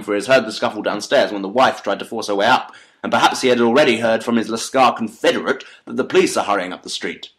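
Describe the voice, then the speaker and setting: An actor playing a keen, analytical detective in a dramatised audiobook, speaking articulately and emphatically in formal period diction. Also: male voice, medium pitch, a bit bright, lightly acted. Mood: animated, urgent.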